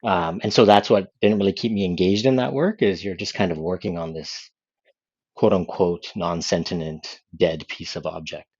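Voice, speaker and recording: A man's voice: low voice, moderate pace, a little mellow, slightly rough, no room sound, dull audio. A man from Canada, a podcast guest, explaining his views thoughtfully and at length. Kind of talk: casual conversation.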